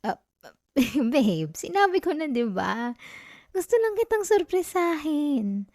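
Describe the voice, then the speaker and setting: A woman's voice: sits high, sing-song, slow, darkish tone, no room sound, clean studio sound, full character voice. Isang babaeng Pilipina ang gumaganap bilang batang girlfriend na estudyante sa ASMR roleplay, clingy, possessive at malambing na nanunukso at nakikiusap, may punto ng Pilipino. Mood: hurt, pleading. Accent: Filipino. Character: clingy, possessive young student girlfriend, sweetly manipulative. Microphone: close microphone, dry sound.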